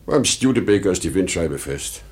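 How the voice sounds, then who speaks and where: A man's voice: deep voice, expressive, normal speed, a little mellow. An actor playing a weary, rambling old traveling salesman in a 1950s German radio drama, swinging between outbursts and murmurs. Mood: puzzled. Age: older.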